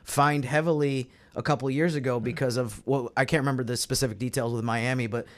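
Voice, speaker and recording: A man on a sports podcast panel, explaining his views in a measured, casual analytical way. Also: medium pitch, fairly even intonation.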